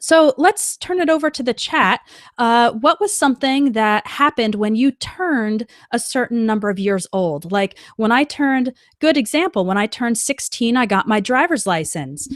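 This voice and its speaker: A woman teaching an English lesson on a live stream, reading out example sentences slowly and clearly as she answers a chat. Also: on the high side, lively intonation, no echo, clean studio sound.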